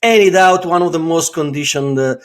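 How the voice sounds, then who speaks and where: A man's voice: a little high, a little fast. Hesitant Italian-accented male host. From Italy. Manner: hesitant, with frequent fillers and restarts. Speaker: podcast host. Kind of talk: casual interview.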